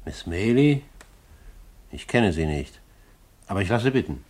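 An actor in a 1950s German radio drama playing a dignified old gentleman who speaks with formal courtesy. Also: on the low side, very animated, measured pace, slightly rough, full character voice. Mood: courteous.

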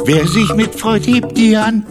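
An actor playing a tired old Santa Claus who dreams of retirement, with a sighing, rhyming manner, in a children's radio play with songs. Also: male voice, high-pitched, a little slow, loud music behind the voice. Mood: dreamy, longing. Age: older.

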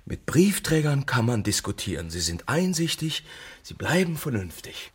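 An actor playing a sick, volatile, murderous man in a radio drama, husky, mocking and petulant. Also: mid-range voice, big pitch swings, normal speed. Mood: sardonic. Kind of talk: German radio drama acting.

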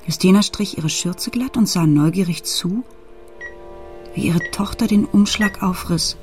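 A woman acts as a desperate mother keeping watch at a sickbed in a radio drama. Her voice sits a little low and tells the family story in measured, literary sentences. Music plays clearly behind her. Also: a little mellow, close to an everyday voice. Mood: reflective storytelling. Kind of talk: radio play narration with inner monologue.

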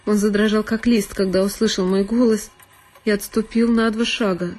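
A woman's voice: medium pitch, steady tone, moderate pace, darkish tone. A woman narrating a literary one-woman audio play in an intense, theatrical manner. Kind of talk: dramatic scripted literary narration. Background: music with solo violin.

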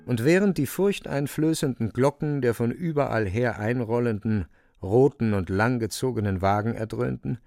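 A man's voice: mid-range voice, vocal fry, a little mellow, no echo, studio quality. A man narrating a literary radio play as a wry, vivid storyteller with dramatic delivery.

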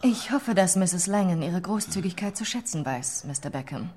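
An actress playing a formal, skeptical investigator questioning a victim in a German crime radio drama. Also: female voice, lowish voice, lively intonation, moderate pace, darkish tone, a little hiss or hum, close to an everyday voice.

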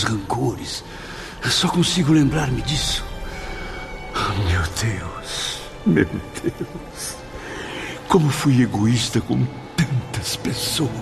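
A tormented, remorseful man in a radio drama. His grave, theatrical speech moves widely in pitch, with music clearly behind it. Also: lively intonation, takes it slowly, heavily acted. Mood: anguished remorse. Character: anguished, remorseful man tormented in the afterlife, also narrating.

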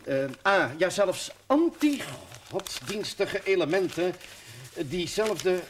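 A formal, long-winded parish priest in a TV drama. His voice sits a little high and he picks his way haltingly through his phrases. The old soundtrack is noisy. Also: expressive. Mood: halting, flustered. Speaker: actor in a television series. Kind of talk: period television drama acting.

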